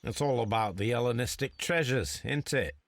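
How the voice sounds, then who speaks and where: An actor playing a shrewd detective in a video game, speaking in a measured, deliberate, precise way. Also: male voice, medium pitch, no room sound, studio quality, close to an everyday voice. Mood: guarded, bargaining. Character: shrewd detective posing undercover as a lockpick thief. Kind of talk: scripted video game acting.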